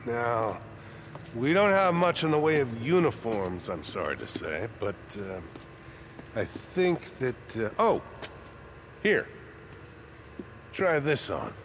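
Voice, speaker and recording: An actor playing a devout older American militia colonel in a video game, speaking in a measured, paternal, pompous manner. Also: male voice, medium pitch, very animated, a little hiss or hum. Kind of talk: video game dramatic acting.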